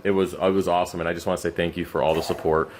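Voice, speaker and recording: A very low-voiced man, hoarse and running out of voice, rambles on with fillers and stops as he tends his messy fish room. A faint hum runs underneath, picked up by a camera mic that follows him around. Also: flat delivery, very fast, darkish tone. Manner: loose, rambling, many fillers and restarts. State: losing his voice, scratchy throat; exhausted. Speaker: aquarium channel host. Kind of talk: rambling unscripted update. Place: cluttered home fish room. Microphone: camera mic while walking around.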